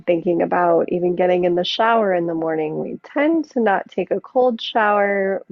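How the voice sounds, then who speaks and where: A woman yoga teacher gently guiding a relaxation practice, unhurried and leaving long pauses between phrases. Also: on the low side, dry recording. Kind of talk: guided yoga and relaxation instruction. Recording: muffled narrow phone-like sound.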